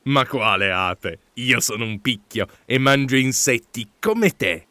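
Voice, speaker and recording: A woman's voice: a bass voice, measured pace, very noisy, voice pushed down. A woman voicing a menacing, predatory male woodpecker in a children's bedtime story. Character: menacing male woodpecker who eats insects.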